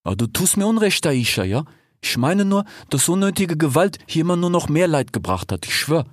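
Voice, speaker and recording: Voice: mid-range voice, big pitch swings, normal speed, no echo, clean studio sound, pitched above the performer's own voice. A man voicing a cautious, peace-minded male fennec fox in a storybook, pleading and adding little verbal tics. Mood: protesting. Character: cautious, pacifist male fennec fox, haggling merchant type.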